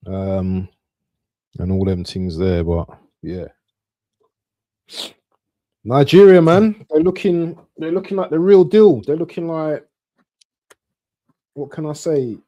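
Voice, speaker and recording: Male football stream host, dark relaxed tone, dry recording, informal running commentary. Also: lowish voice, no room sound. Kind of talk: casual live match commentary and chat.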